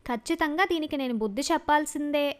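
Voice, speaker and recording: A high-pitched, jealous young woman from a cartoon tale, acted out by a woman narrator. She is headstrong and spiteful. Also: fast talker, natural voice. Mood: bitter jealousy.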